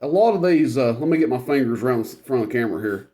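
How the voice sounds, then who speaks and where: Loud-voiced man demoing a CB radio. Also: mid-range voice, quick pace. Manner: relaxed, rambling, many fillers. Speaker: CB radio reviewer. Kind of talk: casual product demonstration.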